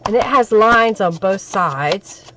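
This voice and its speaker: A woman with a crackly, hoarse voice from a cold, hosting a crafting live stream and chatting with viewers as she works. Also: mid-range voice, expressive, takes it slowly.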